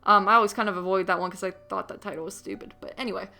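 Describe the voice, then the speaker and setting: A woman's voice: medium pitch, very fast, gravelly, sharp tone. A woman co-hosting a movie podcast, chatting casually and giving understated, rambling film reviews over music.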